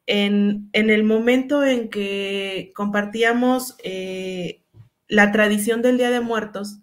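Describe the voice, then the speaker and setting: A Mexican woman, a school supervisor, reflecting earnestly in a panel conversation, often pausing and restarting. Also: medium pitch, fairly even intonation. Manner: earnest, many fillers and restarts.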